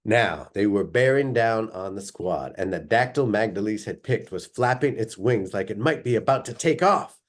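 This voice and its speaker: Male storyteller: expressive read-aloud narration, dramatic, lingering on descriptions. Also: lowish voice, moderate pace, few pauses. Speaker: author or reader reading a story aloud.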